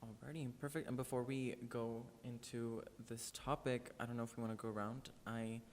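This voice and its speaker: A man's voice: flat delivery, a little fast, a little mellow. A nineteen-year-old man hosting a student podcast in a loose, friendly way with many fillers. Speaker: podcast host. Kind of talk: casual student podcast chat. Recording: long reverb, faint rumble.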